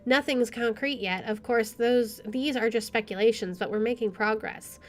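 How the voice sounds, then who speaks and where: A woman's voice: a little high, normal speed. A young adult woman streaming a game, reading a visual novel aloud with casual commentary. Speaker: gaming streamer.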